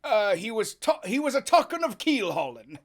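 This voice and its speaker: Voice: medium pitch, sing-song, moderate pace, pitched above the performer's own voice. A reader doing a sheepish old sailor man. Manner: hesitant, deferential. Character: sheepish old grey-haired male sailor.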